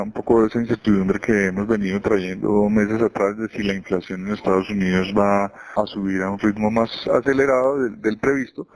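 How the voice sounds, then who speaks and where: A man with a Colombian accent giving financial commentary as an investment executive, in a measured explanatory way with frequent self-corrections. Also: lowish voice, a little mellow, poor audio. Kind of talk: market commentary on a conference call.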